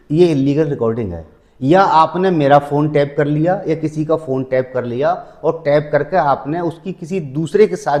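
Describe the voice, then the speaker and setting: A man's voice: a little high, darkish tone. A man presenting legal advice to viewers in a didactic way, repeating key phrases and numbering his points. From India. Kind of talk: explanatory legal talk to viewers.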